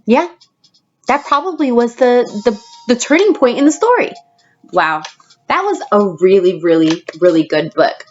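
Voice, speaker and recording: A woman's voice: a little high, sing-song, moderate pace, darkish tone, highs cut off, faint music behind. A woman teacher doing a children's read-aloud, explaining as she goes and speaking directly to her young listeners. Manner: addresses children directly, explaining words and asking questions. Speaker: elementary school teacher reading aloud to students.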